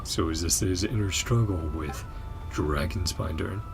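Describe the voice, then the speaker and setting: A woman with an extremely low, gravelly voice comments casually as she plays through a game story. Her delivery is unhurried and comes in short fragments and asides, with game music playing behind her. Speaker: gaming streamer reacting to a story quest. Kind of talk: casual game reaction commentary, murmured asides and read-along dialogue.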